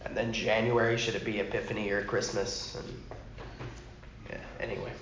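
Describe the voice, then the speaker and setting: A man whose voice is hoarse and nearly gone teaches an informal church class, speaking quietly and rambling with many restarts. The recording is muffled and roomy, with a faint hiss behind him. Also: on the low side, flat delivery, normal speed. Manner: rambling, self-correcting, talks softly. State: hoarse, lost his voice. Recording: muffled, echoey room with faint noise.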